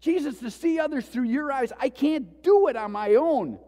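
A male pastor preaching a sermon with passion, swinging between loud pleas and hushed tones. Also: extremely high pitch, lively intonation, normal speed, big-room sound. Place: church sanctuary.

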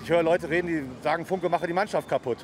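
A man's voice: fairly high pitch, quick pace, slight background noise. A man, a football fan, talking casually in an interview outdoors, rambling with restarts. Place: outdoors by a kiosk.

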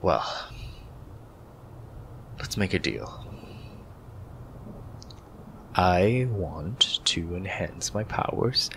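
A man's voice: low-pitched, slow pace, creaky, slight background noise, exaggerated voice. A man playing a grumpy, shy young incubus student in an ASMR roleplay, grudging and hesitant, sometimes half-whispering. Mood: reluctant, grudging. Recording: steady rain ambience behind voice.

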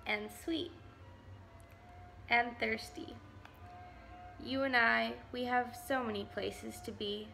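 A woman's voice: fairly high pitch, fairly even intonation, takes it slowly, vocal fry, pauses often, pushed and bright, faint music behind. A young adult woman reading a picture book aloud to preschoolers as a volunteer, recorded indoors. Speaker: volunteer story reader.

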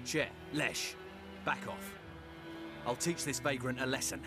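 An actor playing a hostile, cocky village gang leader in a video game, commanding and menacing. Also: male voice, mid-range voice, a little slow, natural voice. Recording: game music behind the voice.

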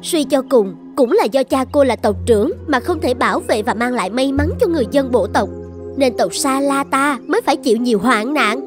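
A woman voicing a resentful young village girl in a cartoon fairy tale, speaking with sharp, sarcastic reproach. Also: high voice, animated, fairly quick, soft background music. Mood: accusing.

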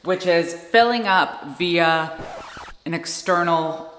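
A woman's voice: lowish voice, slow, fairly bright tone. A woman in her thirties giving a casual, informal explainer to camera as a vlogger. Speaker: vlogger explaining a camper van build. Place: indoor garage workshop beside a van. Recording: echoey, reverberant room with music behind.

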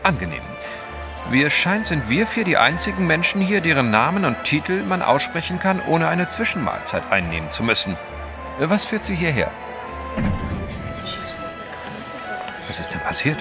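A debonair gentleman adventurer in a radio play. His speech is urbane and dryly witty, with a pitch that swings freely over a clear musical score. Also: sing-song, a little fast, over music. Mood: wryly amused. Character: debonair, wry English gentleman adventurer, devoted husband. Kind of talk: German audio drama acting.

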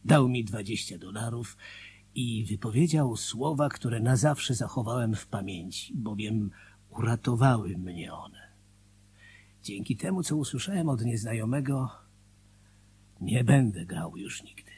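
An actor in a radio drama playing a solemn, grateful former gambler, confiding in a husky, whispery voice. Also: male voice, medium pitch, animated, a little slow, darkish tone, slight background noise, lightly acted. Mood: confiding, earnest. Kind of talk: Polish radio drama monologue, dramatic reading.

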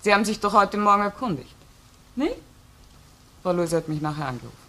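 An actress playing a cool, reserved widow in a German radio crime drama, giving guarded, clipped, matter-of-fact answers as a witness. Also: female voice, mid-range voice, expressive, brisk, bright, forceful tone. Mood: cool, pointed. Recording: old radio recording, faint noise.

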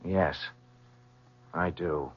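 A man's voice: sits low, steady tone, extremely slow, slightly rough, heavily acted. A young man acting in a 1970s radio drama as an earnest, confrontational son who is protective of his mother. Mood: pleading, concerned. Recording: muffled vintage radio recording.

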